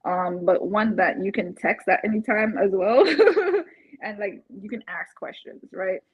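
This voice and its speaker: A woman from Barbados with a husky voice, talking casually and chattily, as in a testimonial interview. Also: mid-range voice, animated, a little slow, no room sound. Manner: chatty, laughs often while talking. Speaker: interviewed client, first-time home buyer. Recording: dull, muffled lo-fi audio.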